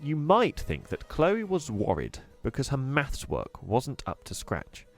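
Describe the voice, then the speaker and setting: A man reading a children's book aloud in an expressive, animated way. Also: mid-range voice, measured pace, soft background music. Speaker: storyteller.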